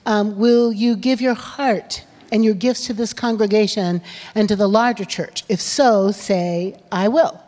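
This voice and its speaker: A woman's voice: mid-range voice, fairly even intonation, unhurried, dark tone. A woman asking new members their vows in a friendly, conversational way. Her voice sits in a middle register and rings in a large hall. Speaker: worship leader. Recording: long hall reverb.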